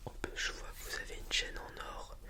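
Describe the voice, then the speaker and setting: A man speaking in a soft breathy whisper, improvising an informal ASMR roleplay explanation with halting, hesitant delivery. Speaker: ASMR roleplayer playing a dragon breeder.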